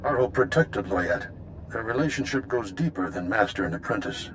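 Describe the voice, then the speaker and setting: An actor playing a grave, measured male Jedi master in a video game. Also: on the low side, animated, a bit bright, a little hiss or hum.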